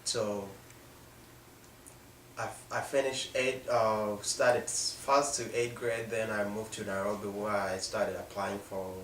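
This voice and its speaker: A young man from South Sudan with a South Sudanese accent tells a personal story unscripted, in a halting way with pauses mid-sentence. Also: on the low side, level tone, fairly bright tone. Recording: echoey room, distant mic, faint noise.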